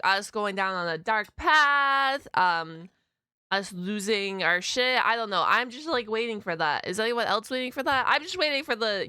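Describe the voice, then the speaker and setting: A woman with a bright, lively voice hosts a casual reaction. She thinks out loud in a halting, chatty way, her pitch moving freely. The sound is dry and close. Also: clear, resonant tone, no room sound. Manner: hesitant, thinking aloud with frequent "like". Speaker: reaction video host.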